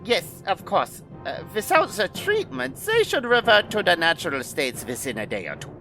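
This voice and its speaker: An actor playing a mad-scientist villain in an adventure audio drama, with theatrical, formal delivery. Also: male voice, sits high, huge pitch swings, normal speed, gravelly, sharp tone, faint music behind, exaggerated voice. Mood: defeated, chastened.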